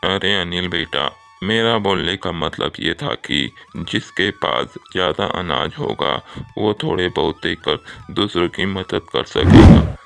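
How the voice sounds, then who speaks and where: Man voicing a deep, gravelly village elder. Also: animated, measured pace, full character voice. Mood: advising. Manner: fatherly, approving. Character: wise, benevolent older male village headman. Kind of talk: Hindi cartoon moral-story acting.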